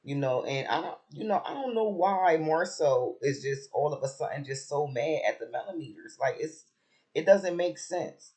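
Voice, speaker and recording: A man with a raspy voice who has just woken up, chatting casually about a TV episode with lots of fillers and restarts. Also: a little high, moderate pace. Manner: relaxed, rambling, many fillers and restarts. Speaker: reality TV commentator.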